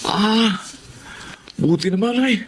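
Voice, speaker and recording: Man acting a very high-pitched whiny schoolboy. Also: slow. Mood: defensive. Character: evasive, whiny teenage schoolboy son. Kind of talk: Tagalog radio drama acting.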